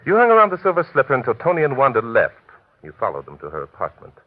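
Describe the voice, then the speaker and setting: Tinny old radio sound, male detective, fast-talking, husky, shrewd, polite, probing, radio mystery acting. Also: medium pitch, huge pitch swings. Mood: measured, deliberate. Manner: polite, methodical, smoothly insistent. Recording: tinny, narrow-band old radio recording.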